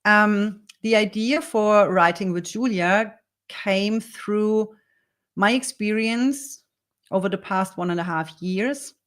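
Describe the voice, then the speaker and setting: A woman with a German accent, a native German speaker, calmly talking through her answers in English as a copywriter teaching a workshop. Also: medium pitch, takes it slowly. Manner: earnest, explains step by step in second-language English. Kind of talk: dictated spoken answers in a workshop demo.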